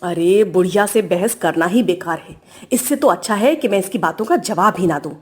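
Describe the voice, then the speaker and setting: A woman's voice: high-pitched, big pitch swings, normal speed. A woman playing a defiant young daughter-in-law who complains and talks back in a family story. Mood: cheeky, annoyed. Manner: whiny, back-talking.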